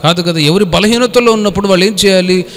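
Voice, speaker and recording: A man's voice: sits high, quick pace. A man preaching a Bible study as a pastor, exhorting in colloquial speech with rhetorical questions and some English words mixed in. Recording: echoey hall with long reverb.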